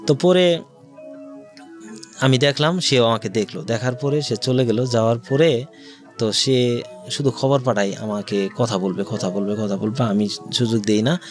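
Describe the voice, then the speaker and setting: A man with a Bangladeshi accent recounts his own life in an interview, music playing behind him. His pitch is mid-range, and he goes through things in detail, voicing the words others said. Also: moderate pace, long pauses, a little mellow. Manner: narrates in detail, acting out quoted dialogue. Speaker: guest telling own life story. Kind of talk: personal storytelling in an interview.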